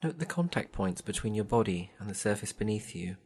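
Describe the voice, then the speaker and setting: A man guiding a meditation in a gentle, soothing, measured way, leaving long pauses between instructions. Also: fairly low pitch, animated, slightly rough. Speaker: meditation guide. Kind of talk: guided body scan meditation.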